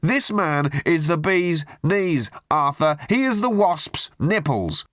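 A man's voice: fairly high pitch, sing-song, slow pace, fairly bright tone, no room sound, studio quality, voice pushed up, full character voice. A man voicing a garrulous male tabloid journalist who babbles nonsense endearments on the phone in an audiobook. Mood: gushing. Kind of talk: audiobook character voice.

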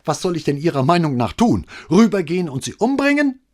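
A man voicing a furious, near-hysterical woman caller whose voice grows shrill with rage. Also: big pitch swings, normal speed, darkish tone.

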